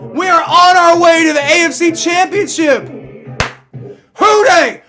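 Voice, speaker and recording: A young man hosting a live sports fan stream, shouting and cheering with a voice strained from yelling, swearing freely. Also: very high voice, slow, faint music behind. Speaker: sports fan livestream host. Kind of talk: excited live fan reaction.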